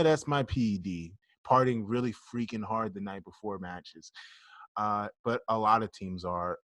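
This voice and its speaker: A man co-hosting a laid-back podcast, blunt and jokey, with curses dropped in freely. His voice sits at an ordinary middle pitch. The recording is close and dry, with no room sound around it. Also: a bit bright, no room sound. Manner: blunt, joking, swears freely. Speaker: podcast co-host. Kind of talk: casual podcast chat.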